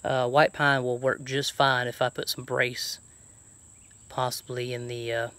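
A middle-aged man with a Southern American accent talks casually into a handheld phone. He has a folksy way of thinking out loud as he works, at an average pitch for a man. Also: level tone, a little slow, dry recording. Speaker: truck camping vlogger. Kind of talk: casual DIY chat to camera. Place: outdoors and inside a truck bed by an open garage. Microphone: handheld phone at arm's length.

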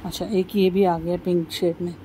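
A woman's voice: lowish voice, flat delivery, moderate pace, noisy background. A woman vlogging casually from a fabric shop in a market, chatting to her viewers and calling them guys. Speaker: shopping vlogger. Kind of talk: casual shopping vlog.

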